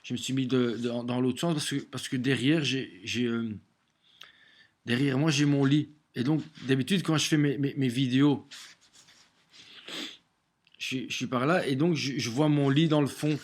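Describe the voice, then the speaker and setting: A man with a cold, talking alone to his camera at home in a halting way, with many repeated words and restarts. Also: medium pitch, steady tone. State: has a cold. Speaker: vlogger sharing daily messages. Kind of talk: casual home video monologue. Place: small room at home, facing a window.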